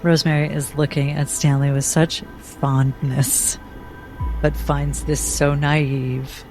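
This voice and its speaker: A woman's voice: low-pitched, little pitch movement, slow, a little mellow, soft background music, poor audio. A woman casually narrating her character's reactions as a player in a tabletop roleplay.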